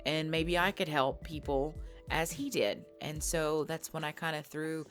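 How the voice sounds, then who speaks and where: Woman, low voice, casual Q&A host, chatty, fillers, faint background music. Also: a little slow. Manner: chatty, with many fillers and self-conscious laughs. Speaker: ASMR audio creator hosting a Q&A. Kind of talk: casual spoken Q&A, reading questions.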